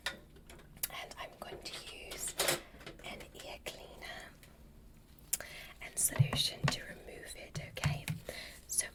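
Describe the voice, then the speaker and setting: A woman whispering softly in an ASMR medical roleplay, giving soothing, reassuring and unhurried instructions as an examiner. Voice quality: breathy whisper. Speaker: ASMR performer.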